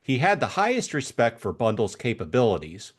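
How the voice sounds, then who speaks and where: A man's voice: medium pitch, huge pitch swings, brisk, slightly rough. A man reading scripted story narration as an audiobook narrator.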